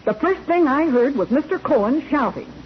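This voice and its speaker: Muffled, faintly noisy vintage radio sound carries an older widowed landlady. She is plain-spoken and measured, giving tactful answers in a 1940s courtroom drama. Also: high-pitched, expressive.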